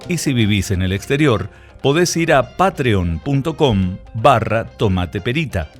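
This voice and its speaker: A man's voice: mid-range voice, expressive, measured pace. Argentine man announcing over faint music. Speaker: podcast announcer. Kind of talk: scripted promotional announcement.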